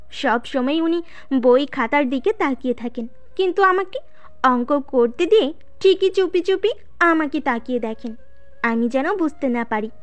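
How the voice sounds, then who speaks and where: A woman's voice: high-pitched, highs cut off. A woman playing a shy, easily piqued student who narrates her own story in a scripted audio drama. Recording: music behind the voice.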